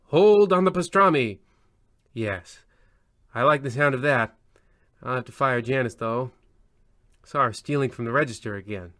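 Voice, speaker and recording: A man playing a droll old-time mystery radio narrator, pompous and deadpan, drifting into grumbling asides. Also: medium pitch, normal speed, pauses often, no room sound, clean studio sound, natural voice. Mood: daydreaming, grumbling.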